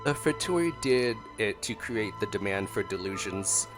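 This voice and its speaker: A man's voice: on the low side, lively intonation, a little slow, music in the background. A man streaming casual gameplay reactions, talking in an offhand, hesitant way.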